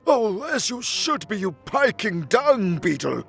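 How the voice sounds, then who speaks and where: A man's voice: high voice, very animated, takes it slowly, gravelly, fairly bright tone, breathy edge, faint music behind, voice pushed up, exaggerated voice. A man voicing a pompous, cantankerous old mage in a fantasy audio drama, blustering theatrically with archaic insults. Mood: outraged ranting. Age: older.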